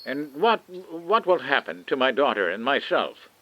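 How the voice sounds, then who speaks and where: A man playing an anxious, disbelieving father in a science fiction radio drama, putting halting questions. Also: medium pitch, sing-song, fairly bright tone, slight background noise, poor audio. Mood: anxious, questioning. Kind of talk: old-time radio science fiction acting.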